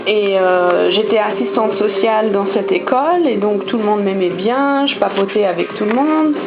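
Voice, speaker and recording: A woman's voice: mid-range voice, animated, moderate pace. Young woman, hesitant casual storyteller, lo-fi recording with background music. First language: German. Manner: hesitant, many fillers and restarts. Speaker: vlogger.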